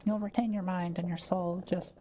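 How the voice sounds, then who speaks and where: A woman playing a kind forest witch in a roleplay audio drama, speaking softly, carefully and patiently to the listener. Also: on the low side, steady tone, normal speed, slight background noise, lightly acted. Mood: caring, attentive. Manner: soft-spoken, careful, patient explaining. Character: kind, quiet-living forest witch, gently reassuring.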